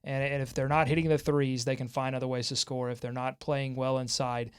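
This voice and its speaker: A man hosting a sports talk show in an excitable, jokey way. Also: medium pitch, fairly quick, smooth. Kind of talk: lively sports talk with co-hosts.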